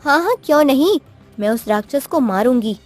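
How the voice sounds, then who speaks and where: A woman's voice: high-pitched, animated, a little fast, faint music behind, pitched above the performer's own voice. A woman voicing an eager, easily surprised young village wife in a cartoon story, full of exclamations and questions. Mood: determined. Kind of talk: cartoon moral story acting.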